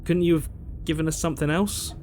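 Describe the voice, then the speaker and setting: A man's voice: on the high side, fairly even intonation, fairly quick, a little mellow, slight background noise, natural voice. A man voicing an anxious, suspicious inner voice in a visual novel, tense and questioning. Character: anxious, suspicious male inner voice, fearful and paranoid. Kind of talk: visual novel game acting. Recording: music under the voice.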